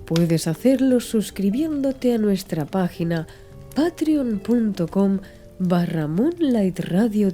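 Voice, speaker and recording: Female audiobook narrator, very dark warm tone, soothing and expressive, over music. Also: medium pitch. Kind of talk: scripted literary audiobook narration.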